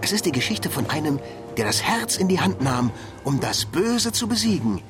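A man's voice: a little high, sing-song, moderate pace. An actor playing a proud heroic duck who grandly narrates his own tale in a comic radio drama. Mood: proud, grandiose. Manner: grandiose, theatrical. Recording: music bed behind narration.

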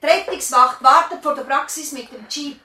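An actress playing a dutiful, practical and urgent nurse in a radio play. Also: female voice, sits high, moderate pace, pushed and bright. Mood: urgent, concerned. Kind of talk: radio drama acting. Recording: distant roomy sound with faint noise.

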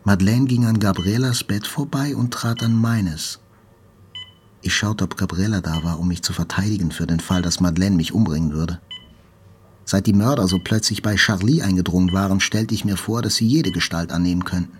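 An actor playing a wounded journalist, narrating his hospital recovery in a measured, reflective literary style. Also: deep voice, a little hiss or hum, close to an everyday voice. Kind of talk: scripted literary narration, radio play.

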